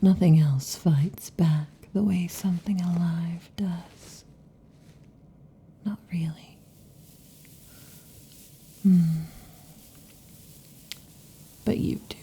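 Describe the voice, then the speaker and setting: A woman voicing a possessive, overheated female lamia in an ASMR roleplay, whispering softly and teasingly into a close mic. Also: lowish voice, fairly even intonation, drawn-out words, pauses often, breathy edge, heavily acted. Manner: soft intimate whispering, teasing. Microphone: close sensitive mic.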